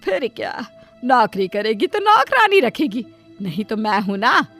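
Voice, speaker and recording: A doting, self-sacrificing cartoon mother, put on by a woman, who wails and pleads in a high, bright voice. She keeps excusing her spoiled daughter, with soft music faintly behind her. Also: very animated, a little slow, full character voice. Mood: indulgent. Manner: wailing, pleading, indulgent toward her daughter. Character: aching tearful middle-aged mother, self-sacrificing. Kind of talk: cartoon storybook voice acting.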